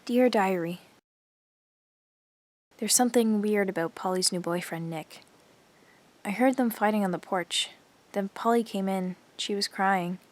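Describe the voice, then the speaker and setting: A woman's voice: mid-range voice, unhurried, pauses often, close to an everyday voice. A woman playing an earnest, observant teenage girl who narrates her diary in an audio drama. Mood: confiding.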